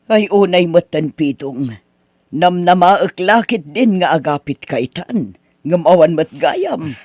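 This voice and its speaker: A man's voice: fairly high pitch, animated, a little slow, no room sound, studio quality. A man voicing Aunt Teresa, a stern older woman who lends money, scolding and disappointed in a radio drama.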